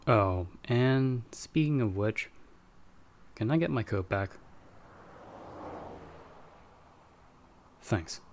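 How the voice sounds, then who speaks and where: A man playing a dry, guarded but protective vampire hunter in an ASMR audio roleplay, speaking in a measured, wry way. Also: mid-range voice, animated. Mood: calm, explanatory. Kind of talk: ASMR audio roleplay, scripted monologue to listener.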